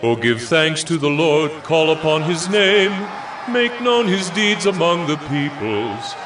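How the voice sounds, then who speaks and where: An actor playing a reverent singer proclaiming praise in an exultant, declamatory way in a scripture drama. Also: male voice, a little high, sing-song, takes it slowly, distant mic, a crowd in the background, noisy background.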